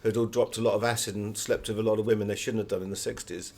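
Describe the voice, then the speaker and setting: A man's voice: on the low side, little pitch movement, fast, creaky, darkish tone. A man speaking as a guest author in an interview, explaining things in a discursive, anecdotal way. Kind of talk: conversational interview, telling anecdotes.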